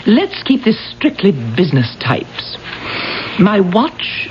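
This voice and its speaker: An actress playing a flirtatious, careless and worldly stage star in a 1970s radio drama, arch and coy. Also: female voice, mid-range voice, huge pitch swings, slow pace. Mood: airy, flirtatious. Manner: arch, coy, theatrical, with breathy little laughs. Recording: dull old radio recording, faint noise.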